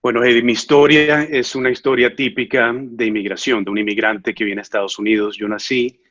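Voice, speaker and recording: A man from Venezuela in his thirties, an immigration lawyer answering interview questions in an earnest, measured way, with frequent filler sounds. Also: medium pitch, fairly even intonation, fairly bright tone, no room sound, dull audio.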